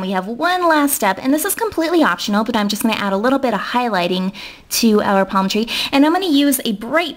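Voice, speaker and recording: A young American woman giving a casual, chatty step-by-step tutorial in an informal way. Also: medium pitch. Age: young adult. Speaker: nail art tutorial host.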